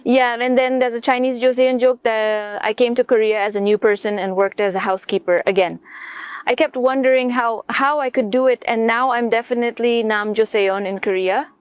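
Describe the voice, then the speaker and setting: A woman chatting casually and jumping in with quick remarks as a co-host. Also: fairly high pitch, fairly even intonation, clean tone, no room sound. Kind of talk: casual conversation.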